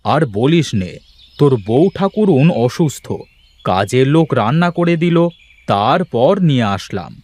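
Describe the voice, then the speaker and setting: A man's voice: mid-range voice, very animated, measured pace, darkish tone, no echo. A man voicing a bossy, evasive village landowner in a cartoon, with a casually commanding, drawling manner. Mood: excusing. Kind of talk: Bengali moral-story cartoon voice acting.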